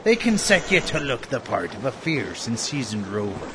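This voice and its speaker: An actor playing a gruff, swaggering pirate bosun in a comedy ad skit, talking in theatrical old-sea pirate speech. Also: male voice, mid-range voice, big pitch swings, normal speed, background noise, lightly acted. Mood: hearty.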